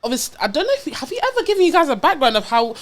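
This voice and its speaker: A woman's voice: sits high, sing-song, very fast. A woman, chatting casually as a podcast co-host. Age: 20s. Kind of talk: casual chat.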